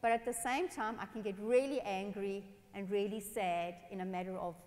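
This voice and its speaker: A woman from South Africa, a pastor telling personal stories in a lively sermon on a church stage. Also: mid-range voice, normal speed. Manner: animated, expressive, gestures while speaking. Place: large dark church stage. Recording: long church hall reverb.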